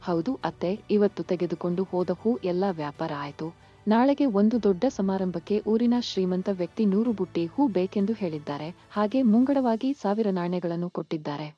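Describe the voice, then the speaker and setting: A woman voicing an earnest, hopeful young village daughter-in-law in an animated moral story, polite and pleading. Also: medium pitch, moderate pace, slightly rough, soft background music, close to an everyday voice. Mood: glad, earnest. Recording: muffled lo-fi sound.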